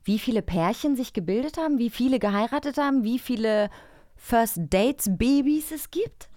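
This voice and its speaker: A woman's voice: on the high side, normal speed, dark tone, dry recording, clean studio sound. A woman co-hosting and interviewing in a casual, curious and teasing way. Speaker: podcast co-host.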